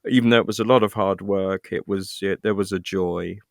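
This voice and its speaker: A man's voice: sits low, fairly even intonation, fairly quick, creaky, no room sound, clean studio sound. A British man chatting casually as an interview guest, rambling a little with hesitant, self-deprecating asides. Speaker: interview guest, actor and writer.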